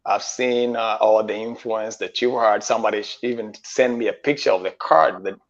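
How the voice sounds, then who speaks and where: A man in his fifties from Kenya, telling his story as a guest chef in a measured, halting way, pausing often on "uh", speaking over a remote call. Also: lowish voice, steady tone. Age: 50s. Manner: measured, halting, with frequent "uh" pauses. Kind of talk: storytelling in a stream interview. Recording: dull lo-fi stream audio.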